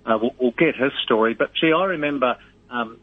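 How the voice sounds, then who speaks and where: New Zealand man on a tinny phone line. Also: medium pitch. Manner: rambling, halting with many fillers. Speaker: radio host, guest. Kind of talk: casual radio chat.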